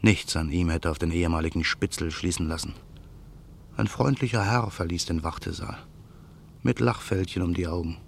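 An actor playing a vengeful former musician, narrating his calm, measured thoughts in a radio play. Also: deep voice, creaky, a little hiss or hum. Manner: calm, measured inner monologue. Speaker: narrator and lead character.

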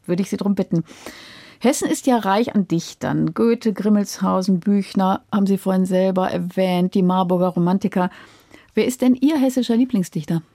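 Mellow woman hosting a radio interview. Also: mid-range voice, moderate pace, no room sound, clean studio sound. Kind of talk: prepared radio interview questions. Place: dry radio studio.

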